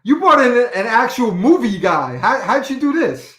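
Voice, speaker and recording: Joking high-voiced co-host man. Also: very animated, moderate pace, fairly bright tone. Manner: joking, teasing. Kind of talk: casual chat. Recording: echoey room.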